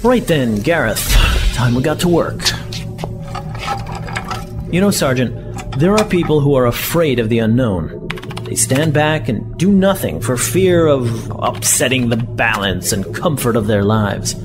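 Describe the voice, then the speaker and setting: Smooth sinister boss, music underneath. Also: male voice, mid-range voice, big pitch swings. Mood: calmly menacing. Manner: deliberate, philosophizing, courteous to his henchman. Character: sinister, smooth-talking male boss of a covert operation. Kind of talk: sci-fi audio drama acting.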